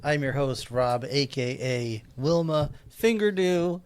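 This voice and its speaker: A man's voice: fairly high pitch, expressive, takes it slowly, darkish tone, smooth. A man hosting a casual movie podcast, joking and laughing often with his co-hosts. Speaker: podcast host.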